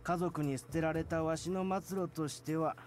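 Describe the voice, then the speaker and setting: A man's voice: on the high side, steady tone, quick pace, lightly acted. An actor playing a regretful, self-deprecating elderly man living alone, with old-fashioned speech, in a visual novel. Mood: wistful, regretful. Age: older. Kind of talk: Japanese visual novel voice acting. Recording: game music behind the voice.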